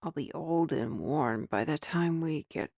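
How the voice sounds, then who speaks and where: A woman's voice: low voice, measured pace, gravelly, a little mellow, no room sound, studio quality, exaggerated voice. A woman playing a weary, impatient noblewoman in an audio drama.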